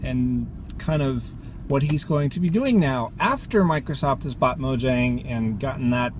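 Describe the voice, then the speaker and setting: A man talking casually to viewers as a vlogger while driving, rambling and hesitating as he thinks aloud. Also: mid-range voice. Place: inside a car while driving in rain. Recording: loud car and traffic noise. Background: road and vehicle noise.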